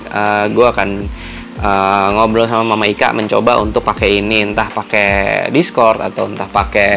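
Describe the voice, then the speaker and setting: A man's voice: mid-range voice, a bit bright. Male vlogger, Jakarta Indonesian accent, mid-range voice, informal unscripted product walkthrough over light background music. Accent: Indonesian, Jakarta colloquial. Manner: informal, explanatory, thinking aloud. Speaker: motovlogger, product unboxing host. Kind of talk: casual unscripted unboxing and tutorial chat.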